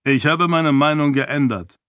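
A man's voice: a little high, measured pace, a bit bright, no echo, clean studio sound, voice pushed up. A man voicing a stern male lord with a curt, commanding manner.

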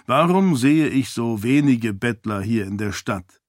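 A man's voice: medium pitch, animated, unhurried, no echo, clean studio sound. A man voicing a stern male lord with a curt, commanding manner. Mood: probing.

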